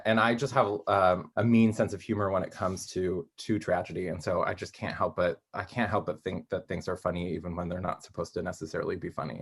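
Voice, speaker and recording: Low-voiced man giving hesitant interview answers. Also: fairly bright tone. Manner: hesitant, rambling, thinking aloud. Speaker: interviewed playwright.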